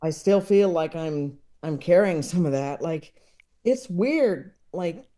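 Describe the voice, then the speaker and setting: Slow-talking, halting man in mellow conversation. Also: a little high, lively intonation. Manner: halting, rambling, with chuckling asides. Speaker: podcast guest, comedy enthusiast. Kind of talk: casual interview conversation.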